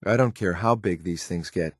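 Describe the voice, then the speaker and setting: A low-pitched male synth soldier from a video game, recorded close and dry. He speaks in clipped, matter-of-fact military phrases. He sounds stern and dutiful, with disdain for whatever he finds beneath him. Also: normal speed, dry recording. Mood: watchful, tactical. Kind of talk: video game companion voice lines.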